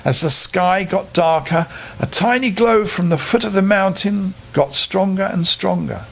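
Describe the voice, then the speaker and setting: A man's voice: high voice, measured pace, gravelly, fairly bright tone. An older man narrating a children's bedtime story expressively, as a storyteller.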